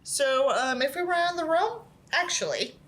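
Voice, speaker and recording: A woman's voice: sits high, sing-song, normal speed, fairly bright tone. A woman reading a book aloud as an online creator, with an irreverent, exasperated manner and casual, sweary asides.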